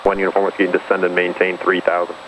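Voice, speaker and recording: A man rattles off air traffic instructions at great speed in a low, flat voice. He is procedural throughout, heard over aviation radio. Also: fast, muffled recording. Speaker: approach and departure air traffic controller. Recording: noisy band-limited aviation radio.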